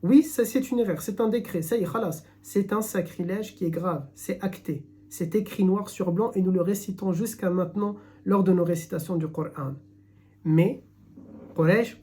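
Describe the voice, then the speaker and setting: A man's voice: high voice, normal speed, a little mellow. A man giving an earnest religious lesson in French, emphatic and repeating himself as he searches for words. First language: French speaker, not Arabic. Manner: earnest, emphatic, many restarts. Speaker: Islamic teacher. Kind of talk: live-streamed religious lesson.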